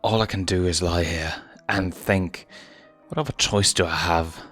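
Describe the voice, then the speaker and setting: A man's voice: deep voice, fairly even intonation, a little slow, fairly bright tone, soft background music. A man voicing a young male lion who broods and blames himself in an agitated way, in a storybook reading. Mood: gloomy.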